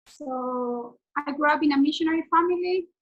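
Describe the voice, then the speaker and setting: A woman in her forties from Argentina, a native Spanish speaker with an Argentine accent, giving an earnest, halting teaching over a video call. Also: high voice, muffled recording. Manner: earnest, halting, with many fillers and restarts.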